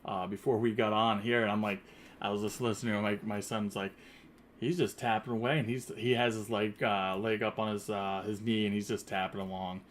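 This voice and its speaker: Rambling male podcast host, low voice. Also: level tone, fairly quick, slight background noise. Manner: rambling, many fillers and restarts. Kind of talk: casual interview chat. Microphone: earpiece headset.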